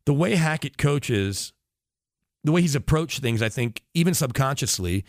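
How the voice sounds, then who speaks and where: A man's voice: mid-range voice, animated, moderate pace, no room sound, clean studio sound. A man talking as a sports radio analyst in casual conversation, opinionated and emphatic, often digressing as he makes his points.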